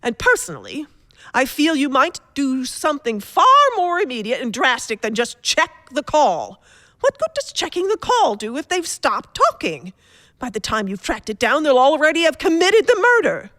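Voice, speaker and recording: Woman as fretful, haughty housewife for radio drama; high voice, wildly swooping delivery, indignant and insistent. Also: sing-song, normal speed, a bit bright, no echo, clean studio sound. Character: nervous, imperious, complaining invalid wife. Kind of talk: radio play acting.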